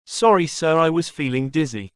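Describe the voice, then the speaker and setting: A man's voice: on the high side, lively intonation, normal speed, clean tone, dry recording, clean studio sound, natural voice. A man playing a plain-spoken villager in a cartoon moral story. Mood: apologetic.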